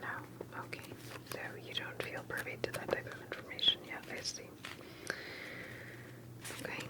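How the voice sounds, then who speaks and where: A woman speaking in a breathy, unvoiced whisper, gently and hesitantly putting questions in an ASMR roleplay interview, with soft unhurried pauses. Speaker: ASMR performer playing an interviewer.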